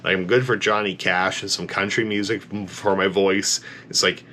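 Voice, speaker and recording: A man with a low bass voice giving an enthusiastic, rambling reaction to a song, full of "like" fillers, tired late at night. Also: fairly even intonation, moderate pace, pushed and bright, a little hiss or hum. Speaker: music reaction YouTuber. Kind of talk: casual reaction commentary.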